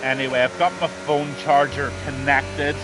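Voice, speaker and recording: A man's voice: mid-range voice, flat delivery, normal speed, background noise. A man, losing his voice, commentating a live online race in a rambling, blunt way with lots of ums. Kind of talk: live sim racing commentary.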